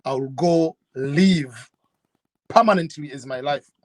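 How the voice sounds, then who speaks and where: A middle-aged man from Tanzania, a guest telling his story in an interview in an earnest, measured way. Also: fairly high pitch, no echo. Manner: earnest, measured, with fillers and restarts. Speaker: guest YouTuber.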